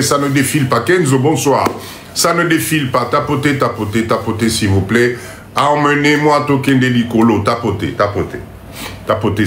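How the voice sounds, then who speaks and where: Congested Congolese man, animated motivational streamer. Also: mid-range voice. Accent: Congolese French. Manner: emphatic, repetitive, urging listeners. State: congested nose from a cold. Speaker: motivational live-stream host. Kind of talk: motivational live talk to viewers. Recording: roomy echo, faint background noise.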